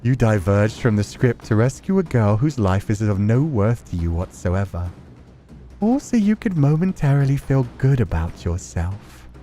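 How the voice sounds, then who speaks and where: A man's voice: on the low side, sing-song, normal speed, mellow, faint music behind. A man voicing an arrogant, villainous nobleman swordsman in a visual novel, taunting with theatrical contempt. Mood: scornful.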